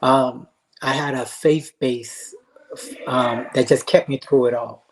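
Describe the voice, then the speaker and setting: An earnest man from Haiti talks with the steady conviction of a speaker of faith, pausing often on soft "um"s as he gathers his thoughts. His voice sits at an ordinary middle pitch. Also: poor audio. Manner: earnest, faith-driven, with frequent "um" pauses. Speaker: guest, motivational speaker and life coach. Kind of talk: interview answers, earnest storytelling.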